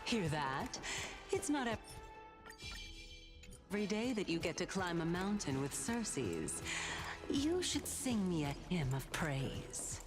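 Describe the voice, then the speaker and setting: An actress playing a teasing, self-assured companion in a fantasy game, with a theatrical, playful manner. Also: female voice, lowish voice, very animated, takes it slowly, pauses often, music in the background. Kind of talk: scripted game voice acting.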